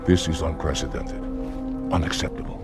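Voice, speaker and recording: Proud alien military commander in a game, gravelly n really deep, formal, indignant, loud music behind. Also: male voice, fairly even intonation, normal speed. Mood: stern, indignant. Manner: formal, stern military address.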